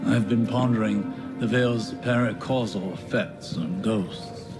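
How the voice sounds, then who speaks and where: A low-voiced, grave older scholar. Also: male voice, takes it slowly, over music. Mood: apologetic. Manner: formal, pensive. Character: learned, grave older scholar-warrior, formal and pensive. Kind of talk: video game cutscene acting.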